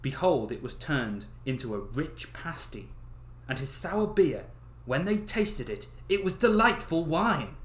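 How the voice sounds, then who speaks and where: A young man reading stories aloud on a livestream in a casual, expressive way, swearing and breaking off with joking asides. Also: on the high side, measured pace. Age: young adult. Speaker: livestream host reading fairy tales aloud. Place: small bedroom. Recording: roomy echo of a small room.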